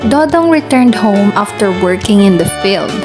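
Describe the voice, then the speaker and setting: A woman's voice: mid-range voice, expressive, moderate pace. A woman presenting and narrating a scripted radio drama as its host. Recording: music playing behind the voice. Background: background music.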